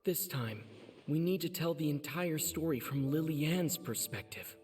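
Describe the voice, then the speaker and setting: A man playing a composed, deliberate young investigator laying out a case in a video game. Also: fairly high pitch, lively intonation. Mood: thoughtful, explaining. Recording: echoing hall, distant, background music.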